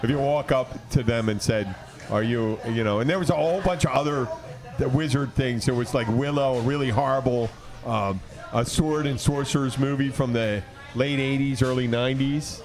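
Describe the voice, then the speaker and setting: A man's voice: medium pitch, moderate pace. A man hosting a podcast in casual banter, rambling along in a teasing, argumentative way. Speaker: podcast host.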